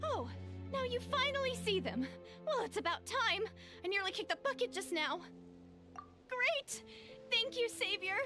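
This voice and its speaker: A woman voicing an earnest, shy, easily flustered young girl in an animated fantasy game, with a girlish voice. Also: very high voice, a little slow, music in the background, exaggerated voice. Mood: relieved.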